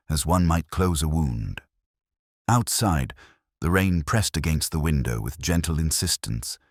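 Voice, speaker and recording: Very deep-voiced male story narrator. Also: no room sound, studio quality. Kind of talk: scripted first-person detective story narration.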